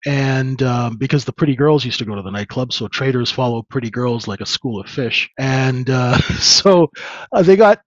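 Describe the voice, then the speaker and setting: A man in his fifties tells long stories as a guest, relaxed and rambling, pausing on fillers. His voice sits at a middle pitch. The video call sounds dull and lo-fi, with a dry sound. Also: no room sound. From Canada. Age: 50s. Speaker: trader guest. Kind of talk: casual interview storytelling.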